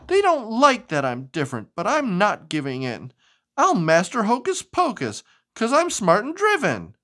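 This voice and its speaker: A low-voiced woman hosts a children's storytime with hugely animated delivery. Her reading is sing-song and expressive, and she turns to chat with a child, asking questions along the way.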